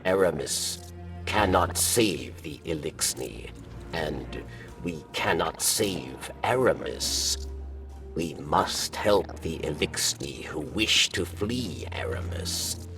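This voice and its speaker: Brief: solemn alien game leader, extremely slow, rumbling gravel, set back under loud music. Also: male voice, animated, recorded from a distance, heavily acted. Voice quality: gravelly growl. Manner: halting, formal, measured phrasing. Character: weary alien Eliksni rebel leader, male, solemn and earnest. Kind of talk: video game character dialogue.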